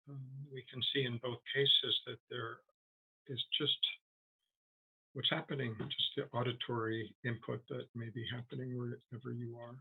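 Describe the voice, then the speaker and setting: A man leading a guided meditation as a teacher, speaking gently and haltingly with long, unhurried pauses. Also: medium pitch, gravelly, slightly rough, rough recording.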